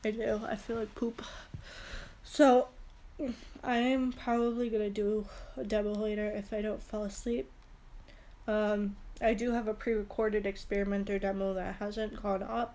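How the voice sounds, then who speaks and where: A woman's voice: medium pitch, warm tone. A young woman with a head cold and a stuffy nose, chatting casually and rambling through a product haul in a small room on a close phone camera. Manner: chatty, rambling, with many fillers. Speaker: beauty vlogger. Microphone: phone camera held close.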